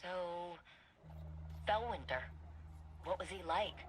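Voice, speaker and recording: A woman's voice: on the low side, slow, slight background noise. An actress playing a curious, frank young woman operative in a video game, talking in an informal, questioning way. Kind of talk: video game cutscene voice acting.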